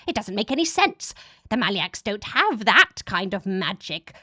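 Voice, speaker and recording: A woman's voice: medium pitch, sing-song, unhurried, pushed and bright, dry recording, studio quality, exaggerated voice. A woman voicing an angry demon commander in an audiobook, exasperated and barking at subordinates. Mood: angry, baffled. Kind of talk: dramatic audiobook character voice.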